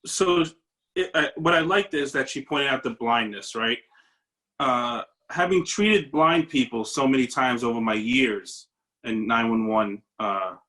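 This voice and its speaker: A man's voice: mid-range voice, lively intonation, a little slow, sharp tone. A man, a paramedic, sharing an earnest, searching reflection in a discussion over a video call. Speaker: paramedic audience member.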